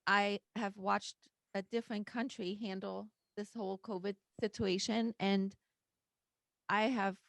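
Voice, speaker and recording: Gravelly-voiced woman from Austria, halting. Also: medium pitch, level tone, dry recording. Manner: hesitant, halting with fillers. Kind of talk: casual interview questions.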